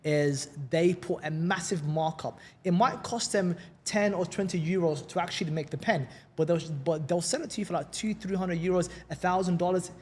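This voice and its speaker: A man's voice: high-pitched, normal speed, hardly any pauses, husky. A man from London with a London accent, a guest in a casual podcast interview. He talks in an upbeat, chatty way and often repeats words as he starts a sentence. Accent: London English. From England.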